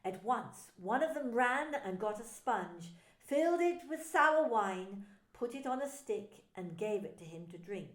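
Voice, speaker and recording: A woman pastor narrating a scripture story in a slow, solemn reading. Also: mid-range voice, very animated, reverberant.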